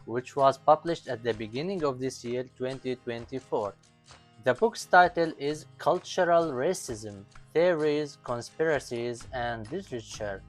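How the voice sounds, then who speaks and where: An author whose first language is Arabic, presenting his book in a measured, explanatory talk in English, ticking off lists slowly with falling endings. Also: mid-range voice. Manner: deliberate, lists items slowly with falling ends.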